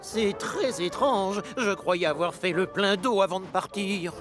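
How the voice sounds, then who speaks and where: A man's voice: high voice, measured pace. A man voicing a proud, stubborn, grumpy big express locomotive in a French-language children's cartoon, in a pompous, boastful manner. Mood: worried. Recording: music behind the voice.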